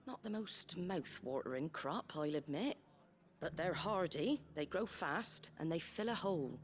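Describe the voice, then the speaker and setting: A woman's voice: low-pitched, animated, unhurried. An actress playing a blunt, practical, caring innkeeper woman in a fantasy video game, with a regional working-class English accent and a folksy, wry way of talking. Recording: music behind the voice.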